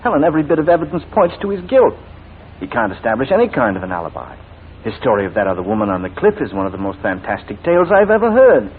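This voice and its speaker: A man's voice: medium pitch, huge pitch swings, fast talker. A man playing a shrewd, proud English barrister in 1940s radio drama, articulate and formal. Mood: firm, sceptical. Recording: tinny, noisy 1940s radio recording.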